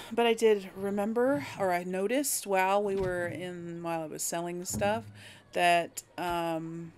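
Female let's play host, leisurely and fry-edged, casually thinking out loud as she plays. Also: mid-range voice, a little hiss or hum. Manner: musing aloud, hesitant deliberation. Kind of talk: casual gameplay commentary.

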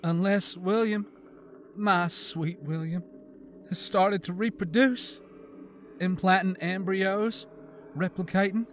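A man voicing a grieving, half-mad woman scientist who snarls coldly and bursts into shouts. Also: high voice, fairly even intonation, slow, pauses often, slight background noise, pitched above the performer's own voice. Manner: cold, snarling, breaking into shouts. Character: grief-crazed, bitter woman scientist.

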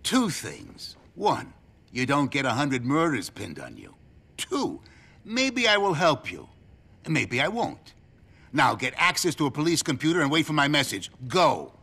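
A middle-aged American government handler in a crime game. His voice is forceful and bright, swinging widely in pitch as he delivers clipped, sardonic orders with smug cynicism. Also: wildly swooping pitch, unhurried, breathy edge, exaggerated voice. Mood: smug, teasing. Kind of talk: video game cutscene acting.

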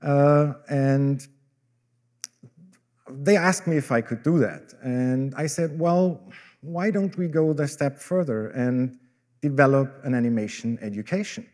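A middle-aged man with an Austrian German accent speaks from a conference stage in a mellow, darker voice. His talk is relaxed and self-deprecating, dotted with fillers and restarts. He presents as a professor. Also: medium pitch, measured pace. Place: large dark conference hall, on stage.

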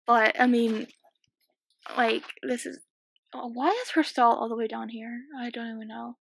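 A sixteen-year-old girl with a slightly croaky voice, chatting and giggling casually while commentating a game she is playing at home. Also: on the high side, fairly even intonation, unhurried, no room sound. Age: 16, teenager. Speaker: gaming YouTuber. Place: small home room.

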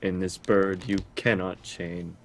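A man's voice: low voice, little pitch movement, slow pace, slight background noise. A man on voice chat reciting song lyrics as earnest, dramatic spoken poems. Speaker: gamer on voice chat.

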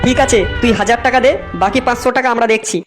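A man's voice: very high-pitched, steady tone, fast. Comedy cartoon ringleader male performer, young, bossy and scheming, over faint music. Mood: demanding.

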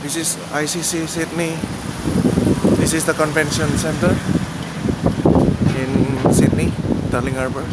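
A man narrating a walking tour outdoors, pointing out landmarks slowly and plainly, with pauses and fillers. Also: fairly high pitch, fairly even intonation. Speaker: vlogger giving a walking tour. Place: outdoors on rainy city streets. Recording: outdoor city noise behind the voice.

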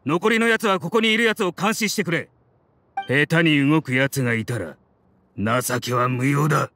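An actor playing a stern, loyal mercenary in a video game, giving terse commands. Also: male voice, a little high, moderate pace, background noise, natural voice. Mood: commanding. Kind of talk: Japanese game voice acting.

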